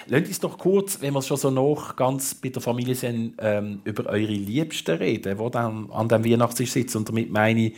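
A man's voice: mid-range voice, a little mellow. A man with a Swiss German accent hosting a conversational interview, asking curious questions and chipping in with short acknowledgements. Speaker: talk show host.

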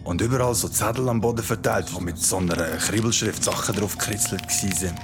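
A man's voice: fairly low pitch, a little fast, over music, close to an everyday voice. A man playing a curious, awkward young circus newcomer in a radio play, casual and hesitant, with a Swiss German accent. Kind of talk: radio play narration and dialogue.

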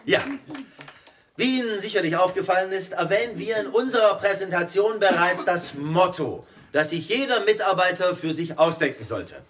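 A self-important new boss in a radio drama, talking down to his staff with mock politeness. His voice sits high and swoops widely as he sneers. Every phrase sounds like a speech to an audience. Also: animated, moderate pace, a bit bright, a little hiss or hum. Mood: smug. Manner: pompous, condescending, mocking. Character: pompous new male company boss.

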